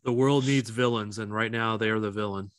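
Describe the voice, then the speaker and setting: A man's voice: lowish voice, fairly even intonation, brisk, clean tone, dry recording. A man co-hosting a casual fan podcast, talking in a blunt, matter-of-fact way.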